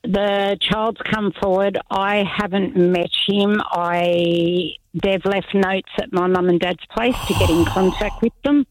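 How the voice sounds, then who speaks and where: A woman's voice: mid-range voice, fairly even intonation, takes it slowly, no room sound, low-quality recording. A woman, a radio caller giving a casual, matter-of-fact personal account.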